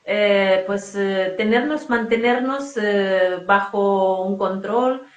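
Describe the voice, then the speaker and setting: A woman's voice: medium pitch, takes it slowly, lo-fi sound. A woman from Galicia hosting a casual interview, effusive and enthusiastic as she speaks. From Galicia, Spain. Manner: effusive, enthusiastic, spiritual talk. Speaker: host, coach. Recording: echoey room.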